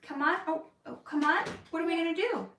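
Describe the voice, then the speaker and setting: A woman's voice: extremely high pitch, brisk, fairly bright tone, far from the mic, slight background noise. An actress chatting candidly in a casual Q&A, laughing easily as she answers questions. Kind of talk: casual livestream Q&A chat. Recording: roomy echo, voice from off-mic.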